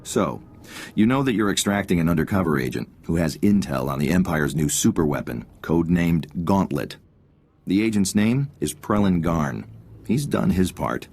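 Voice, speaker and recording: A man's voice: low voice, lively intonation, normal speed, a little mellow, husky, exaggerated voice. A man playing a friendly, wry military intelligence officer in a video game, collegial and businesslike. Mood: briefing, businesslike. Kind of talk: scripted video game dialogue.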